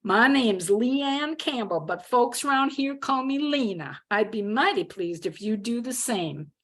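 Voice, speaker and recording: Female reader as teasing young Texan college woman, thick playful drawl, pitched up, storybook character. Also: lively intonation, measured pace, fairly bright tone. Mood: playfully polite.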